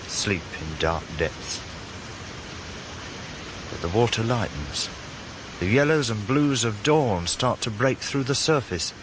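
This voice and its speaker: A man's voice: sits low, background noise. An actor playing a vengeful working-class man with a London accent, intense and poetic, in a first-person radio drama. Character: brooding, vengeful working-class man narrating his own revenge. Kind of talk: first-person dramatic narration with heated dialogue.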